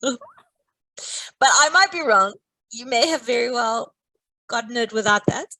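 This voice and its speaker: Playful woman teaching maths, higher voice. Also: lively intonation, takes it slowly, sharp tone, no room sound, poor audio. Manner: playful, teasing, explains step by step. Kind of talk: live online maths lesson.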